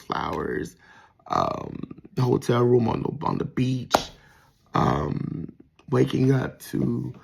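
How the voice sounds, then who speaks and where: A man with a soft voice, talking reflectively in a casual personal monologue with frequent pauses and restarts. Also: mid-range voice, creaky, husky. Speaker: vlogger.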